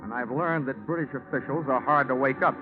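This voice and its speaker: A man's voice: mid-range voice, fairly quick, loud music behind the voice, natural voice. An American actor playing a dry, sardonic, clipped insurance investigator who narrates his case in 1940s radio detective style. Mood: brisk narrating. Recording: dull 1940s radio recording.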